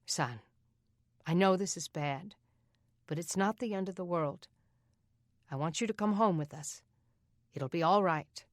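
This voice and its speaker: Woman voicing a foster father, steady, reassuring, low-ish mellow tone, dry close sound. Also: measured pace, long pauses, no echo, close to an everyday voice. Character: kind, firm middle-aged foster father.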